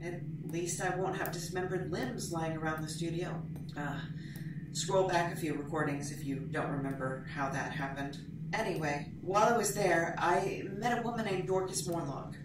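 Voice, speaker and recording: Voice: medium pitch, moderate pace, lightly acted. An actor playing a dry, rambling amnesiac podcaster confiding in a recorder in an echoey bathroom, as an audio drama. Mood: confiding, uneasy. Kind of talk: conversational audio diary in a drama. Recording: very echoey bathroom, distant, noisy.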